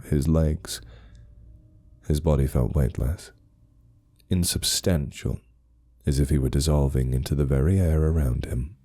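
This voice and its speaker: A man's voice: rumbling low, warm tone, husky. A man narrating a scripted horror story in a grave, suspenseful manner.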